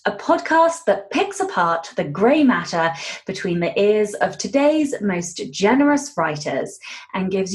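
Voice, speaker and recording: A woman hosting a podcast interview in a chatty style. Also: mid-range voice. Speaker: guest podcast host.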